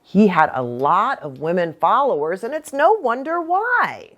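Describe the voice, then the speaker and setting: A woman's voice: mid-range voice, big pitch swings, unhurried, a bit bright. An American woman preacher explaining things simply to children as a story-time host.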